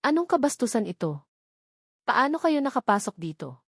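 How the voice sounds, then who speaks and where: A woman's voice: a little high, big pitch swings, normal speed, slightly rough, no echo, pitched above the performer's own voice, heavily acted. Woman doing a rich snobby older mother, cold and bossy, audiobook drama style, dry close sound. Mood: haughty, scornful. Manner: disdainful, imperious.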